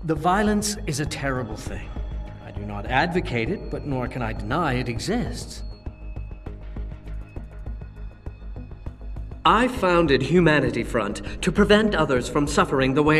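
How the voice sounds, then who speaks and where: Game's movement leader: grieving, righteous, formal and oratorical, slightly raised pitch, music underneath. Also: male voice, lively intonation, normal speed, fairly bright tone. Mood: indignant, defensive. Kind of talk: dramatic video game acting.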